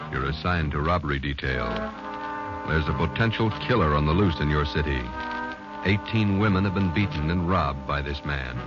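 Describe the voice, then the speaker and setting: A man announcing a 1940s radio drama in grave, measured scripted narration. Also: rumbling low. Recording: muffled old radio recording.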